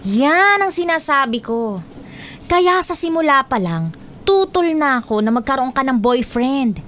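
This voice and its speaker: A woman's voice: sits high, very animated, measured pace, a bit bright, full character voice. A woman playing a disapproving, protective aunt in a Filipino radio drama, emphatic and chiding. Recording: faint ambient noise behind.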